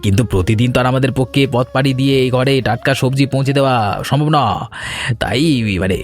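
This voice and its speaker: A man plays a hardworking young Bengali villager in an animated family tale. He speaks earnestly and politely to his elders, with insistence, at a medium pitch. Soft music runs beneath his lines. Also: fast talker, natural voice. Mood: helpful. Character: eager, respectful young village son-in-law, hardworking. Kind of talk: animated folk story dialogue. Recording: music bed under the voice.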